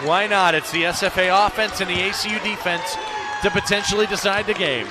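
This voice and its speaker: American male play-by-play announcer, high-pitched delivery, live radio commentary over crowd and music. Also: brisk, heavy background noise.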